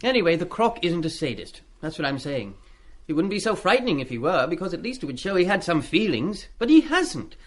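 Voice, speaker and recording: Radio play schoolboy, mid-teens, British: lightish high voice, very lively intonation, eager, polite, indiscreet. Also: male voice, fairly quick. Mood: frank, earnest. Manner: chatty, deferential with schoolboy slang.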